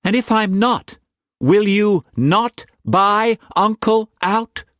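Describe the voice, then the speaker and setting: A man voicing a distraught young woman of twenty who pleads in a high, shrill voice. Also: slow pace, fairly bright tone, no room sound, studio quality. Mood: pleading, distraught.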